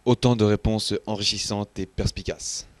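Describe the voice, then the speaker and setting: A man's voice: on the low side, steady tone, moderate pace. A man presenting a student news programme as anchor, in a formal scripted style.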